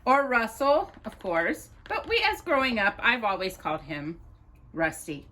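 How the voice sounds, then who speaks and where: Woman, children's storytime reader, higher-pitched, bright voice, expressive, deliberate read-aloud. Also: a little hiss or hum. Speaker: storytime host reading a children's picture book.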